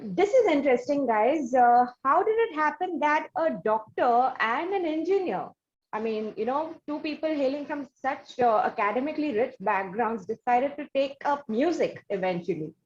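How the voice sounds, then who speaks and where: A woman with an Indian accent hosting a conversational interview, phrasing her questions in a measured, halting way. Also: sits high, lively intonation. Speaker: interview host. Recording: slightly dull lo-fi sound.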